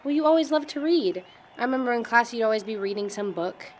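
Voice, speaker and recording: A woman's voice: fairly high pitch, lively intonation, fast, slight background noise, close to an everyday voice. A young woman playing a chatty college student in an indie film, with a teasing, informal manner. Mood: playful, teasing. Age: young adult. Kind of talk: naturalistic amateur film dialogue.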